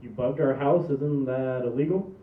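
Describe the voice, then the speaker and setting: A man's voice: mid-range voice, normal speed, a little hiss or hum, lightly acted. Guy doing exasperated dutiful son in a comedy read, dry, far from mic, tinny. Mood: exasperated, puzzled. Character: exasperated, dutiful adult son holding his family together. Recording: echoey, distant, thin phone-like room recording.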